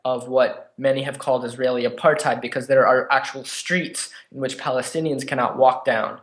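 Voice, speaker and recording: A man's voice: mid-range voice, steady tone, normal speed. Man in an echoey room. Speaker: journalist interviewed. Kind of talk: conversational interview commentary.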